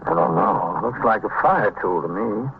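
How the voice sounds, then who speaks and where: Man playing a low-voiced, businesslike police captain. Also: lively intonation, normal speed, close to an everyday voice. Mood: dry. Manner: dry, matter-of-fact, businesslike. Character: calm, methodical male police precinct captain. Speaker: actor and narrator in a radio drama. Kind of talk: vintage police radio drama, clipped procedural delivery. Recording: dull, noisy vintage radio recording.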